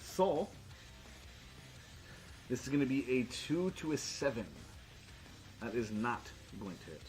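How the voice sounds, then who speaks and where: A man's voice: medium pitch, expressive, measured pace, darkish tone, soft background music, low-quality recording. A man narrating a tabletop role-playing game as game master in a conversational way.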